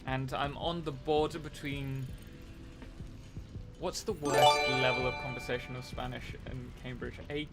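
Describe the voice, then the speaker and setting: A man's voice: mid-range voice, level tone, unhurried, over loud music. A young man hosting a casual live stream while playing a game, rambling and answering chat messages.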